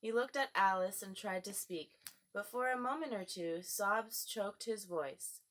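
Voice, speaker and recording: A woman's voice: mid-range voice, a little slow, a bit bright. A woman reading a storybook aloud as scripted narration. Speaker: audiobook reader.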